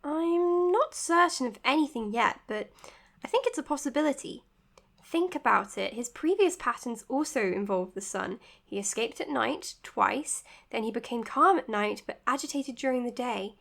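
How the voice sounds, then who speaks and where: Female psychiatrist, higher-pitched voice, dutiful, precise, professional, audio drama. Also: expressive, normal speed, creaky, fairly bright tone, heavily acted. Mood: earnest. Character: earnest, dutiful young woman psychiatrist, careful and caring. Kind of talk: audio drama acting as spoken case notes and dialogue.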